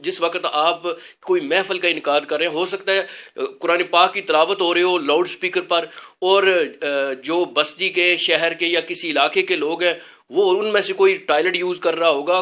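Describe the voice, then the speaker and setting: A man giving an informal religious talk as an educator, explaining things in an earnest, measured way. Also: high-pitched, fairly bright tone.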